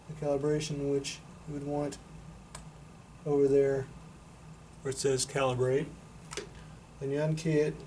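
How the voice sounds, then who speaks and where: A man's voice: medium pitch, warm tone, low-quality recording. A man giving a casual, unscripted product demonstration in a matter-of-fact, explanatory way. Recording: noticeable background noise.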